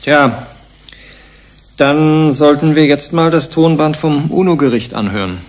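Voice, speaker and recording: An actor playing a polite, probing investigator in a German science-fiction radio play, measured and inquisitive. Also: male voice, a little high, natural voice. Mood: businesslike. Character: polite, probing male investigator questioning researchers. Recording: faint background noise.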